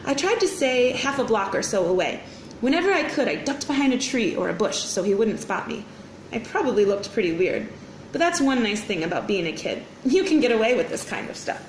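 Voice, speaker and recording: Female read-aloud storyteller for kids, bright-eyed teacher delivery, highish voice, dramatic phrasing, echoey room. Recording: roomy echo, faint background noise.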